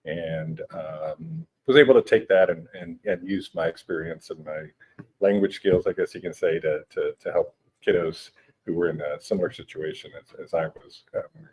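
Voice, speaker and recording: A man in his forties whose first language is Spanish, explaining things in a relaxed interview with many hesitations and restarts. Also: sits low, normal speed, rough recording. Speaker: guest, education technology company director. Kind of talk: conversational interview. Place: conference venue.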